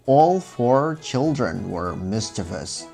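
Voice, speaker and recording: A man narrating a scripted children's fairy tale in a measured, expressive storybook style. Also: on the low side, gravelly. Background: music.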